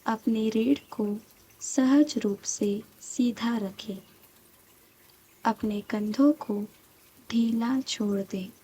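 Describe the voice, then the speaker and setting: A woman guiding a scripted meditation in a soft, breathy voice, calm and soothing, with long pauses between short phrases. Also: fairly high pitch, a little hiss or hum, low-quality recording. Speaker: meditation guide. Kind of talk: slow guided meditation, scripted.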